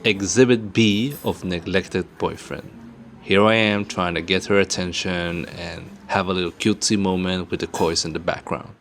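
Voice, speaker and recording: Low-voiced man narrating a travel vlog. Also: steady tone, moderate pace, noisy background. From Sweden. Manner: playful, joking. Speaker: travel vlogger. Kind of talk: voice-over narration. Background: faint music.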